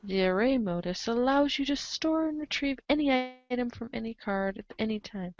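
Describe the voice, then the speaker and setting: A woman's voice: on the high side, expressive, moderate pace, no echo, muffled recording. A woman reading a comic aloud as the show's narrator, theatrical and playful, slipping into casual asides. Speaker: narrator of a comic read-along show.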